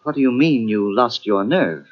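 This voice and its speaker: An actor playing a calm detective asking probing questions, in a 1940s radio drama. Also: male voice, medium pitch, normal speed, close to an everyday voice. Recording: dull lo-fi old radio recording.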